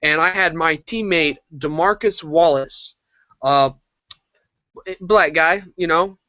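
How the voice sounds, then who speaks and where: A high-energy teenage man who rambles through stories on a live video call. His voice is fairly high and bright, sitting dry and close, with a dull lo-fi call sound. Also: takes it slowly, dry recording. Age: teens. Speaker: challenge group member.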